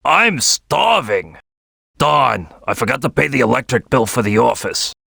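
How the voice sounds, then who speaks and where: Big cocky croc game character, bright punchy voice, swoops all over, loud and boastful. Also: male voice, mid-range voice, big pitch swings, gravelly, breathy edge, no room sound, clean studio sound, full character voice. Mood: grumbling. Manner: loud, swaggering, boastful, drawn-out delivery. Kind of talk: exaggerated cartoon game voice lines.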